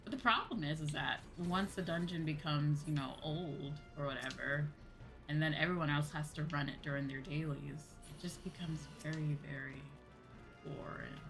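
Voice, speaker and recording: A woman's voice: low voice, moderate pace, a bit bright, faint music behind. A woman streaming a game and chatting casually, with a sweary, playfully whiny and emphatic manner. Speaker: streamer, VTuber host.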